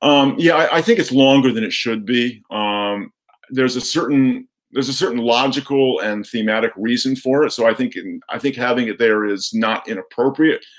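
A man of average pitch talks through his views on film as a guest commentator. He speaks in a measured, hesitant way, often qualifying what he thinks. The style is conversational and thoughtful.

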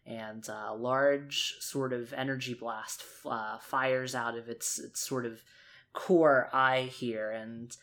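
A man of medium pitch tells the story of a tabletop game as a player. His delivery is slow and hesitant, repeating phrases as he builds them. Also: fairly even intonation, a bit bright. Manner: hesitant, circling back over phrases. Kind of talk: improvised narration of a tabletop game.

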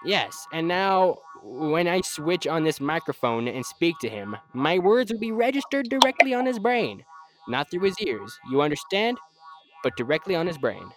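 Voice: medium pitch, very animated, moderate pace, soft background music, natural voice. An amateur player acting an eager, proud scientist-inventor in an old-time radio serial, explaining things in wordy technical detail. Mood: focused, commanding.

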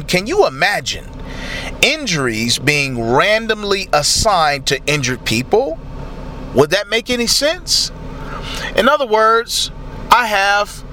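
Male preacher; slow and lively, bright tone, faint traffic behind. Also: on the high side. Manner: slow, emphatic preaching with rising exclamations. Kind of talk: sermon.

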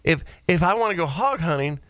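A man's voice: on the high side, animated, brisk, a bit bright. A man hosting a radio show with casual, joking banter and a teasing manner, recorded in a studio.

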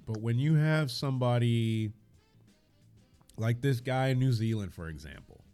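A man hosting a casual discussion, speaking in a measured, questioning way and pushing back on points. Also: mid-range voice, dark tone, smooth, soft background music. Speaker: podcast host.

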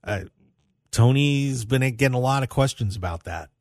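A man's voice: medium pitch, animated, normal speed, a little mellow, no room sound, studio quality. A man hosting a radio show, talking casually about sports news in a rambling way and repeating phrases for emphasis.

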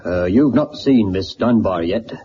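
An actor playing a folksy, deferential British police sergeant, chatting confidingly in a radio drama. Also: male voice, lowish voice, expressive, unhurried. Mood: deferential, hushed. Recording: dull, noisy old radio drama recording.

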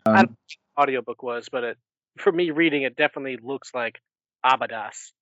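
A man of average pitch talks as a podcast co-host, explaining things casually. The sound is close and dry, with a somewhat dull, lo-fi tone. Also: quick pace, fairly bright tone, no echo. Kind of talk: casual explanatory book discussion.

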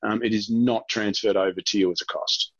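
An Australian man explaining things informally as an interview guest, in a halting way, often rephrasing as he goes. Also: lowish voice, fairly even intonation, husky, dry recording, lo-fi sound. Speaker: guest real estate director.

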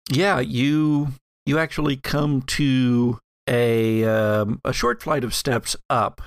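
Male game master for tabletop audio, mid-pitched, playful, halting storyteller, very dry recording. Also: steady tone, dry recording. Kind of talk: narrating an actual-play tabletop game.

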